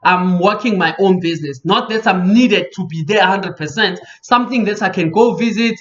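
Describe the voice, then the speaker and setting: A South African man in his thirties hosting a casual podcast chat, his voice sitting fairly high for a man. He talks with animated emphasis and repeats phrases for weight, and the audio sounds dull and lo-fi. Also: normal speed. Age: 30s. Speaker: podcast host.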